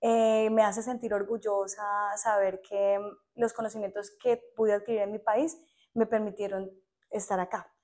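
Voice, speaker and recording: A young Colombian woman in casual conversation, with a Colombian accent. She tells her stories in a lively, chatty way and laughs often. Her voice sits at a middle pitch. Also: moderate pace. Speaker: guest teacher. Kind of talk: casual podcast interview.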